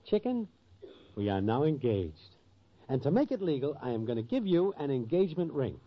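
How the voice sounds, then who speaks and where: An actor playing a shifty, slangy small-time schemer of a boyfriend in a 1940s radio comedy, given to grand speeches. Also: male voice, mid-range voice, sing-song, normal speed. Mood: coaxing. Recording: muffled, noisy 1940s radio broadcast.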